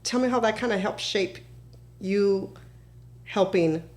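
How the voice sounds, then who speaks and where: A woman's voice: medium pitch, measured pace, a little mellow. A woman podcast host and realtor, asking questions in an encouraging, casual interview manner.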